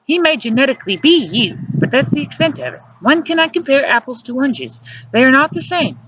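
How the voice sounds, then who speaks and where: A narrator's sharp, high-pitched scolding woman. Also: animated, fairly quick, lo-fi sound, slight background noise. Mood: insistent reasoning. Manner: sharp, lecturing, formal wording. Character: exasperated, scolding adult woman, fierce and bossy lover.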